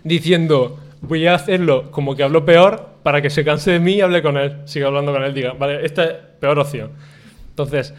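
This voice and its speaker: A young man from Spain co-hosting a casual podcast. His voice sits a little high, and he tells a story in a rambling, self-mocking way, often laughing in the middle of a sentence. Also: brisk. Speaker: podcast co-host.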